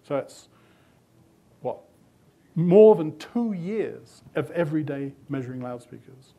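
A man's voice: fairly high pitch, animated, slow pace, muffled recording. Older man talking through audience questions. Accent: British. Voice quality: thoughtful, loose explanatory manner with restarts. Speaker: magazine editor giving a talk. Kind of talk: conference talk, answering audience questions. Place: hotel conference room. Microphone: podium mic, speaking away from it.

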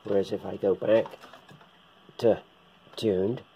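A man giving a casual, hands-on equipment demonstration as a hobbyist presenter, talking unhurriedly in short, halting bursts. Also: fairly low pitch, little pitch movement, highs cut off, slight background noise. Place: indoors, room with radio gear on a shelf.

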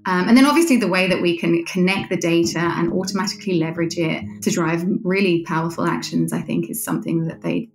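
A woman's voice: fairly low pitch, fast talker, hardly any pauses, echoey room, over music. A woman being interviewed, explaining a product in a conversational way.

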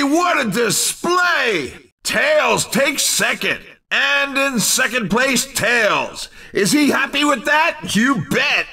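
Over the top racing game commentator, unhurried but super animated, exclaims everything, bit distant. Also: male voice, very high-pitched, heavily acted. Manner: exclamatory, theatrical announcing. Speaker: race commentator. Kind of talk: video game sports commentary.

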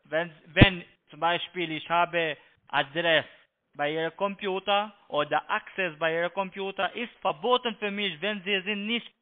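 A man with a foreign accent, a non-native speaker giving instructions on a phone call in halting, repetitive, broken phrases. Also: high voice. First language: not German. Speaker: phone scam call-centre agent. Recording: tinny, band-limited phone line.

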